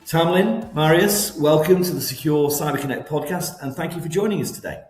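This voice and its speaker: A man's voice: on the high side, fairly quick, darkish tone. Male host, formal upbeat intro read, echoey room with faint music. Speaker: podcast host. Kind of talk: scripted show introduction.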